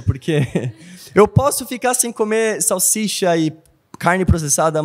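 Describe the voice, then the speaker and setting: A young man from Brazil with a Brazilian Portuguese accent, preaching a sermon in a church hall, telling stories and often checking in with "não?". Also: sits high. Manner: animated storytelling, asks "não?" often.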